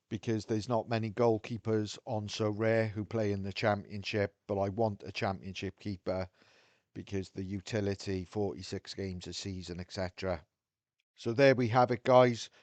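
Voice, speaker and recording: A man's voice: lowish voice, fairly even intonation, a little mellow, dry recording. Frank Welsh man talking to camera. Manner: measured, frank, thinks aloud with restarts. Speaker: video host. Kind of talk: casual talk to camera.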